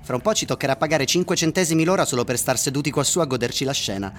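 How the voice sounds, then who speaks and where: An actor playing a restless, questioning dreamer in a radio drama, speaking insistently, with music behind him. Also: male voice, medium pitch, lively intonation, racing, close to an everyday voice. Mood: bitter. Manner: insistent, probing. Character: restless, questioning male dreamer obsessed with free space. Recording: music bed under the voice.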